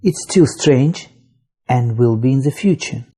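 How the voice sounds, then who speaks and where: A narrator reading an English grammar lesson slowly and deliberately from a script, with example sentences. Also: mid-range voice, animated, dark tone, slightly rough. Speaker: English teacher.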